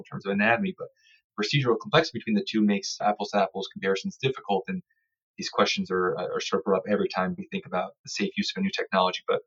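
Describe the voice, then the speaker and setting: A man's voice: lowish voice, fairly bright tone, husky. A man speaking as a surgeon and researcher in an interview, explaining formally and technically, on dull lo-fi audio. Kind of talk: interview discussion of surgical research. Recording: dull, lo-fi remote-sounding audio.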